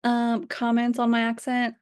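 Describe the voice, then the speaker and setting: A woman's voice: a little high, completely flat delivery, unhurried, smooth, no echo. Woman with a light Slavic accent. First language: not English. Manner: hedging, reflective, frequent "like". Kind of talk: casual conversation.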